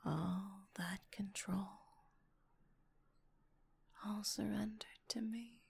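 A woman's voice: mid-range voice, fairly even intonation, extremely slow, gravelly, a little mellow, slightly rough. A woman whispering breathily in an intimate ASMR-style monologue, with a teasing, commanding and lingering delivery.

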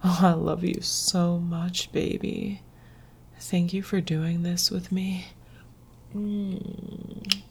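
Woman voicing a slow, whispering, gravelly male lover. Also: fairly low pitch, flat delivery, full character voice. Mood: affectionate. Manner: breathy hushed whisper, coaxing and possessive. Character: tender but dominant male lover. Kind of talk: intimate audio roleplay.

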